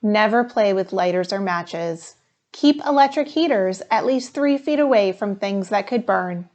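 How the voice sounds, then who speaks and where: A woman voicing a calm, thorough male schoolteacher in a storybook, in a measured, instructive manner. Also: medium pitch, lightly acted. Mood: firm, instructive. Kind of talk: storybook read-aloud.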